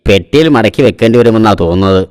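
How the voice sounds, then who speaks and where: A man's voice: fairly low pitch, rapid-fire, a crowd in the background, close to an everyday voice. A man voicing a sly, grumbling shop owner in a cartoon, with long echo on the recording. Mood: grumbling, impatient. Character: grumbling, sly adult male shop owner, evasive boss. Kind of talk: cartoon voice acting.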